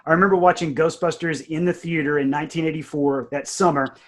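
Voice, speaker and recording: A man's voice: on the high side, steady tone, fast talker. A man in his forties chatting casually and enthusiastically about creative work, telling stories and quoting people as he goes. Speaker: audio drama writer and producer. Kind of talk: casual behind-the-scenes chat.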